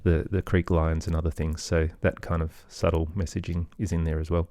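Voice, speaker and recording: A man's voice: sits low, little pitch movement, brisk, dark tone, no room sound, clean studio sound. A middle-aged man giving reflective, loosely phrased answers as a guest in an interview. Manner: reflective, loosely phrased, hedging with "sort of" and "kind of". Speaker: podcast guest, musician and storyteller.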